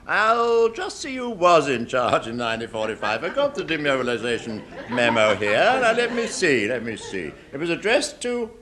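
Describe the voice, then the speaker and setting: An actor playing a sly, bumbling British civil servant with an airy, evasive, repetitive way of talking in a radio comedy. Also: male voice, medium pitch, big pitch swings, normal speed, hardly any pauses, crowd noise, close to an everyday voice. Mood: slyly triumphant. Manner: airy, evasive, repeats himself.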